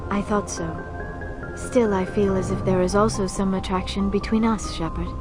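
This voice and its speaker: A woman's voice: mid-range voice, fairly even intonation, normal speed, close to an everyday voice. An actress playing a reserved, earnest young alien woman in a video game, speaking formally and precisely. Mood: shy, tender. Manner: formal, precise, few contractions. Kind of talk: video game dialogue acting. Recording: loud background music.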